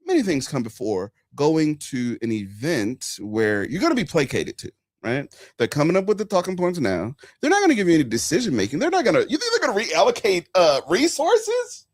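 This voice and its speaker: A man of forty hosting a casual political chat, telling stories animatedly, swinging between loud and hushed. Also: fairly high pitch, huge pitch swings, brisk, few pauses. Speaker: podcast host.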